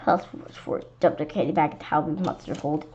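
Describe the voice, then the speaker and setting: A woman reading a children's story aloud in an expressive, animated way, occasionally stumbling over words. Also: deep voice, fast. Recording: dull lo-fi sound with clear background noise.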